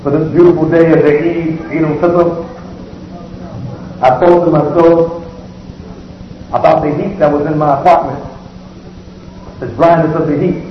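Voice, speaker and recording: A man's voice: a little high, little pitch movement. Man preaching in an echoey hall. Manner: measured preaching with repeated phrases. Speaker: imam giving the Eid sermon. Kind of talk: sermon. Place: large civic center hall. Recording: echoey hall, muffled, faint hiss.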